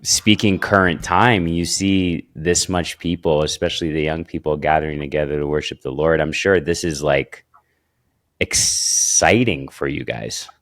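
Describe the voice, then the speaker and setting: A man with a very low voice hosts a podcast interview. He asks friendly questions in a halting way and often repeats words as he searches for the phrasing. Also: fairly even intonation, fairly bright tone. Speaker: podcast host. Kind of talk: casual interview.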